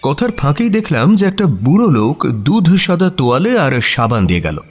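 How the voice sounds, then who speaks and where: Expressive male mystery storyteller. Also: a little high, moderate pace. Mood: atmospheric, descriptive. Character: male detective's companion recounting the case as storyteller. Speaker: narrator. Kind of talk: expressive scripted story narration.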